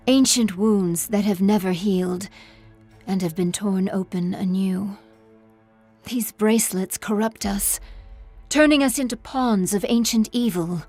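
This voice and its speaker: A woman playing a solemn, haunted priestess and sculptor in a video game, speaking with measured reverence in a husky voice. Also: medium pitch, darkish tone. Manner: slow, measured, reverent speech. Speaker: religious character in a video game. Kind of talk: video game character dialogue.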